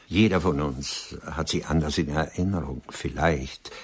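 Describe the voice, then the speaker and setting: Alternder Dichter mit sehr tiefer, rauer Stimme. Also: male voice, very deep voice, husky, exaggerated voice. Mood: conciliatory, wistful. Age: older. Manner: measured, dry, courtly. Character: ageing womanising writer, urbane, unrepentant, coolly ironic. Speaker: radio drama actor. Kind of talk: German radio play dialogue.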